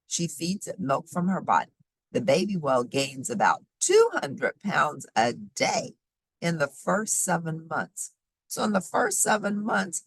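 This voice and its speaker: Expressive woman reading aloud, swooping pitch. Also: breathy edge, no room sound, low-quality recording. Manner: expressive, emphatic, teacherly explaining to children. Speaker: read-aloud host. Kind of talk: children's nonfiction book read-aloud with short asides.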